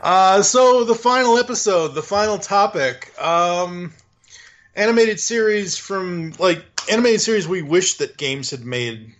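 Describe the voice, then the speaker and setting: A man's voice: high voice, expressive, a little slow, a bit bright, lo-fi sound. A man hosting a podcast in casual chat, talking loosely and laughing often as he lays out plans. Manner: chatty, laughs often, rambles through plans. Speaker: podcast host.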